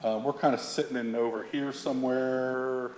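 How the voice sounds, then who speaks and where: A middle-aged man with a big, full voice gives a slide talk to a room of colleagues. His speech is loose and conversational, full of fillers, restarts and asides. He sits at an everyday male pitch. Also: steady tone, normal speed, a little mellow. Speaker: environmental consultant presenting to an audience. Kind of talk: conference slide presentation.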